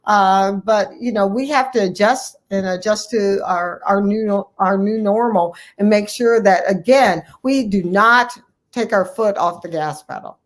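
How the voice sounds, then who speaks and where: A woman's voice: mid-range voice, unhurried. An American woman physician answering as an interview guest, earnest and emphatic, repeating key phrases for stress. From United States, Ohio. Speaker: guest, family physician and medical association president-elect.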